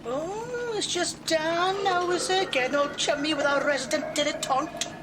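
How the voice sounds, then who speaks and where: An actress playing a pretentious, lazy young slacker and snob in an audio drama, pompous and theatrical. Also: female voice, sits high, moderate pace, heavy background noise, lightly acted. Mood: mock offended.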